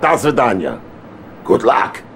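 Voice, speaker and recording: Pompous, lively Soviet leader, Russian accent. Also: male voice, medium pitch, measured pace, a little hiss or hum. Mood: pompous briefing. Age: middle-aged. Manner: pompous, theatrical briefing. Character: jovial, pompous middle-aged male Soviet leader. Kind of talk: theatrical video game cutscene acting.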